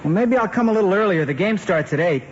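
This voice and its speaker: Casting: easygoing American teen son, higher-pitched, old radio drama, muffled hissy recording. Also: male voice, animated, quick pace. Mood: casual, reluctant. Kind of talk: 1950s radio drama acting.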